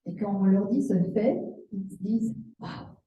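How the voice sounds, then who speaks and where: A woman's voice: mid-range voice, normal speed, mellow. A woman interpreting a seminar live, phrase by phrase, expressively echoing the examples and sometimes correcting herself. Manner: echoes the speaker's examples expressively, with self-corrections. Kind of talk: consecutive interpreting of a seminar talk. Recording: roomy, slightly dull sound.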